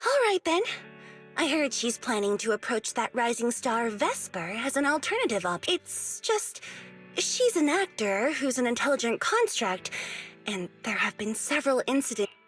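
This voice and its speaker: A woman's voice: a little high, very animated, normal speed, fairly bright tone, faint music behind. A woman playing a poised, teasing, outgoing young celebrity in a video game. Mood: pointed, brisk.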